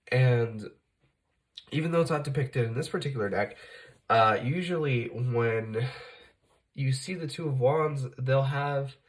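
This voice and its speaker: A man hosting a tarot reading, speaking casually while fighting off a cold, often correcting himself and using fillers. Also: mid-range voice. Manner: conversational, self-correcting, many fillers. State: fighting off a cold, occasional cough. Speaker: tarot reader, channel host.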